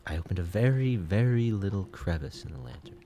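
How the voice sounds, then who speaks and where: An actor playing an obsessive madman who confesses to murder in intense, theatrical gothic narration, swinging between hushed and loud delivery. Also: deep voice, very animated, a little fast, soft background music. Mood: tense, secretive. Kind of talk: dramatic first-person gothic narration, audio drama.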